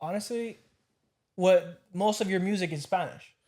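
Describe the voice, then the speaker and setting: A man's voice: high voice, moderate pace, a little mellow. A man hosting a podcast, giving laid-back advice in casual chat. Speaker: podcast host.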